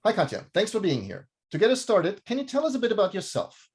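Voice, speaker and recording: A man's voice: high voice, huge pitch swings, quick pace, a little mellow. Man moderating a video call. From Germany. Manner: clear, orderly moderator. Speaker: host, moderator. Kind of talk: scripted introduction and moderating.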